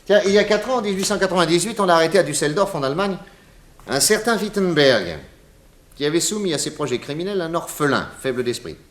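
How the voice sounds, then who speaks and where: An actor playing a confident detective boss in a 1960s French radio serial, explaining in an emphatic, didactic, theatrical way with dramatic pauses. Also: male voice, on the high side, sing-song. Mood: dramatic, explanatory. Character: confident male detective boss explaining crimes to his assistant.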